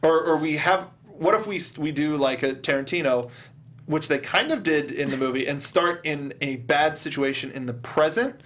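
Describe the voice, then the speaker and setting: A man's voice: fairly high pitch, normal speed, fairly bright tone. A man co-hosting a movie review show, talking casually and giving commentary on films and games. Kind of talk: casual chat, film and game commentary.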